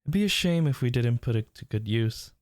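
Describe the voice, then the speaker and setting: A man's voice: medium pitch, animated, a little fast, dry recording, clean studio sound, close to an everyday voice. A man playing a smitten, teasing workplace rival turned lover in an intimate romantic audio roleplay, murmuring tenderly and breathily. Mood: tentative, warm.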